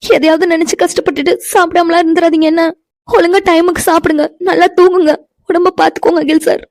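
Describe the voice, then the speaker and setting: A woman's voice: very high-pitched, level tone, quick pace, darkish tone. A woman playing an anxious, caring young woman in an emotional audio drama, pleading and fussing earnestly.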